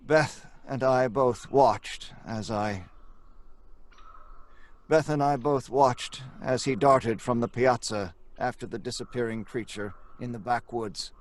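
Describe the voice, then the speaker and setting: A man's voice: medium pitch, slow, close to an everyday voice. A man playing a haunted, defiant scientist, with grave, dramatic delivery in old-time radio horror style. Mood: grave, tense. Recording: music under the voice.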